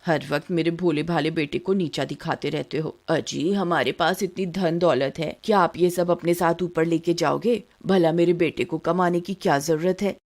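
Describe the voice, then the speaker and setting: A woman's voice: fairly low pitch, moderate pace, few pauses, a little mellow, lightly acted. A woman voicing a haughty, bossy rich mother who dotes on her son, in a cartoon story. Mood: indignant, doting. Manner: scolding, boastful.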